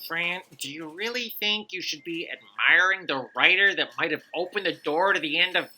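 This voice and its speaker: Woman acting a fussy, piercingly bright-voiced aide. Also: on the low side, normal speed. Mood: prim, doubtful. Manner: prim, hesitant, stammering when flustered. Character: fussy, sceptical female copy aide, stubbornly by-the-book. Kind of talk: comic audio drama.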